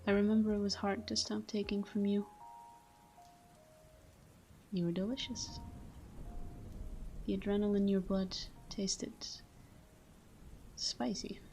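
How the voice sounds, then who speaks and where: A woman playing a tender, melancholy vampire lover in an intimate ASMR monologue, speaking softly and slowly in a breathy half-whisper, close to the microphone. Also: mid-range voice, level tone, natural voice. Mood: hushed, reminiscing. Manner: slow, hushed, wistful delivery. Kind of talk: intimate ASMR sleep-aid roleplay monologue. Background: soft background music.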